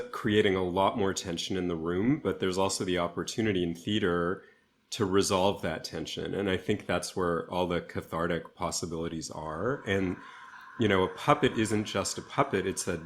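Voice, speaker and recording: A man speaking reflectively as an interview guest, with thoughtful, deliberate phrasing. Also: low-pitched, slight background noise. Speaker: interview guest, theatre artist.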